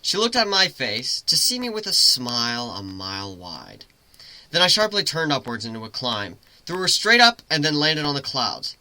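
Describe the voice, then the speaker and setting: A man's voice: medium pitch, sing-song, normal speed, sharp tone, dry recording. A man giving a dramatic first-person reading of a fantasy story as its narrator. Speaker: story reader.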